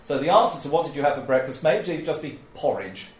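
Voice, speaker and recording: A man with a loud voice giving an informal training talk on interviewing, chatty and often laughing as he acts out example questions. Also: a little high, big pitch swings, fast. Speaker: oral history trainer. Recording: echoey room.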